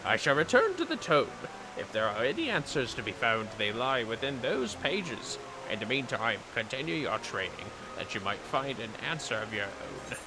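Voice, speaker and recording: A man's voice: mid-range voice, sing-song, normal speed, hardly any pauses, noisy background. A man voicing a grave, anguished warrior mentor from a game, with solemn, theatrical, archaic oratory. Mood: solemn challenge. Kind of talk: dramatic reading of game dialogue.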